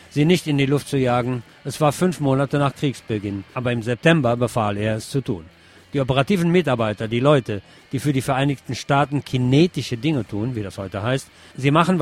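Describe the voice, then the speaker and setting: A man narrating a scripted text, reading it aloud in a measured, report-like way. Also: mid-range voice. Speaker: narrator reading a translated interview.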